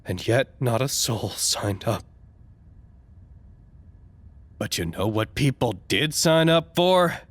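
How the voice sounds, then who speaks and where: A man's voice: mid-range voice, expressive, measured pace, no room sound. Pompous, swooping, fussy male doctor. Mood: aggrieved. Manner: pompous, theatrical, exclamatory. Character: fussy, self-important, easily wounded male doctor of office order. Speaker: actor in audio drama podcast. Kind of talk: dramatic monologue, audio log.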